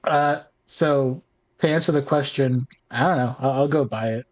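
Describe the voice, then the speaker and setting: Casual man with a middle-pitched voice. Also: normal speed, poor audio. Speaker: podcast host and tabletop player. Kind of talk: casual chat.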